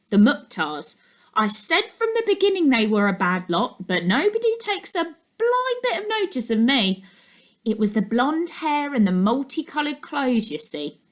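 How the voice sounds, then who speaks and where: A woman's voice: sits high, voice pushed up. A woman voicing a gossipy, opinionated neighbour in a storybook, confiding and emphatic. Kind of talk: storybook character monologue.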